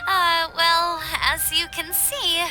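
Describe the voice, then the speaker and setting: A woman's voice: very high voice, little pitch movement, takes it slowly, music in the background. An actress playing a weary young girl adventurer in a video game, speaking hesitantly. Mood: weary, sheepish. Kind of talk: video game cutscene acting.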